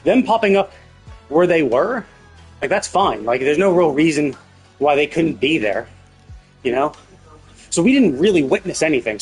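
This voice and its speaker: Husky-edged man musing over a game. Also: a little high. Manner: speculative, rambling, questioning. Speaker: live streamer. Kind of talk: casual game commentary, thinking aloud.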